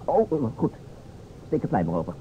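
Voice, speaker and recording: A man's voice: mid-range voice, huge pitch swings, normal speed. An actor playing a playful, musing man who improvises scenes for a detective game in an old radio play, speaking hesitantly. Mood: playful, busy. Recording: dull old radio recording, noisy.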